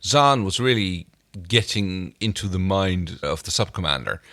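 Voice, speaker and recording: A man's voice: deep voice, animated, a little slow, sharp tone, no room sound. A man co-hosting a podcast, chatting casually through a recap of a TV episode. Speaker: podcast co-host.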